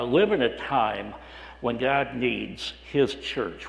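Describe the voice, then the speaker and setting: A middle-aged male pastor with a hoarse, raspy voice, preaching a sermon in a conversational way with frequent restarts. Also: medium pitch. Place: church sanctuary. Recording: echoey hall with long reverb.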